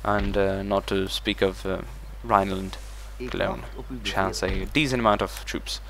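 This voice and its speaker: A man's voice: low-pitched, level tone, measured pace, creaky, crowd noise. Man thinking aloud over a strategy game. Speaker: gaming channel host. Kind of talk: let's play commentary.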